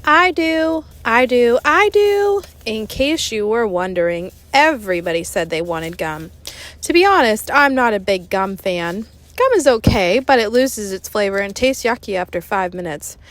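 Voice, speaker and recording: A woman reading a children's book aloud in an expressive, conversational narrating style. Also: medium pitch, moderate pace, no echo. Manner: animated, conversational. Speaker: storybook reader.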